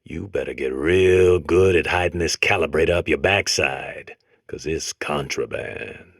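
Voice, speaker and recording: A man's voice: extremely low pitch, measured pace, no echo, studio quality, heavily acted. An American man voicing a comic game robot that talks like a grizzled old convict, lecturing in folksy, tough prison slang. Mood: tough, mocking. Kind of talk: comic game character monologue.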